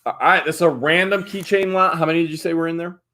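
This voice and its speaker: A man hosting a live online auction stream, calling out bids excitedly and chatting with viewers. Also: on the high side. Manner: excited, rapid bid calling. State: often loses voice after streams. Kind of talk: fast auctioneer-style live stream chat.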